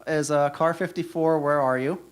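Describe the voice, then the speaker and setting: A man's voice: a little high, fairly even intonation, measured pace, clean tone. A man speaking as an author on a panel, informally presenting books. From Pennsylvania, United States. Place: convention panel room.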